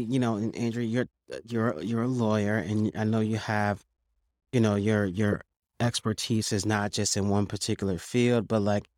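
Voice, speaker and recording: A man with a low voice, hosting a podcast. He circles his questions with lots of fillers and restarts. The sound is dry and close. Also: darkish tone, no room sound. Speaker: podcast host.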